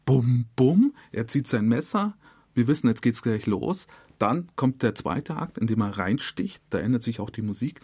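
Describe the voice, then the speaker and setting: A man's voice: medium pitch, expressive, normal speed, darkish tone, slightly rough, dry recording. A man speaking conversationally as a director explaining his work in a studio interview. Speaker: radio drama director, interview guest. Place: dry radio studio.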